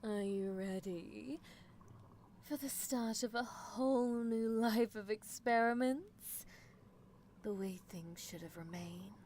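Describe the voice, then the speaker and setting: A woman's voice: medium pitch, takes it slowly, darkish tone, a little hiss or hum. A woman playing a doting, possessive young female mad scientist in an ASMR roleplay, coaxing and theatrical, slipping toward whispers. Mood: coaxing, eager.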